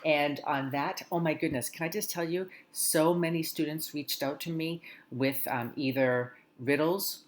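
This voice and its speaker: Low-voiced woman greeting schoolchildren. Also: background noise. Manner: warm encouraging teacherly tone, slow careful reading. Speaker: school morning announcements host. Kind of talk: friendly talk to schoolchildren.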